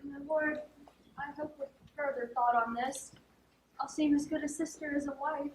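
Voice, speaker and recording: A woman's voice: high voice, fairly even intonation, normal speed, lightly acted. A woman playing an earnest, well-spoken noblewoman in a theatrical stage comedy. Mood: composed. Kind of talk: theatrical stage acting of classic verse comedy. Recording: echoey stage, distant mic, faint noise.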